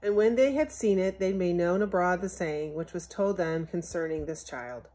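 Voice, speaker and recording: A woman reading a Bible story aloud from a picture book in measured, scriptural phrasing, as a Sunday school storyteller. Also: on the low side, dark tone.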